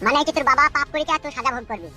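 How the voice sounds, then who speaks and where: A man's voice: extremely high pitch, racing, music in the background. A man playing a menacing, taunting young kidnapper in a comic cartoon, with a rural West Bengal accent. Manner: taunting, bullying. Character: menacing, vengeful young male gangster kidnapper.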